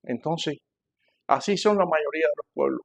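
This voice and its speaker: An older man with a Cuban Spanish accent preaching to a congregation, emphatic and repeating phrases, swinging between loud and hushed delivery. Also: a little high, wildly swooping pitch, moderate pace. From Cuba. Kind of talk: sermon, teaching a church congregation.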